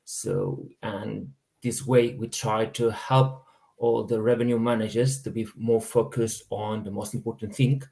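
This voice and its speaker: A man, a business director, introducing his company on an online panel in earnest, slightly halting phrasing. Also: fairly low pitch, steady tone, gravelly, mellow.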